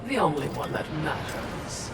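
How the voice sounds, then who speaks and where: A creepy-polite fawning spirit servant in a game, low silky voice, takes her time, echoey. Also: very animated, measured pace, creaky, exaggerated voice. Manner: obsequious, silky, overly formal. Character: fawning, sly female Watcher spirit, obsequious servant. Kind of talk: theatrical fantasy video game acting. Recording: echoey, under loud music.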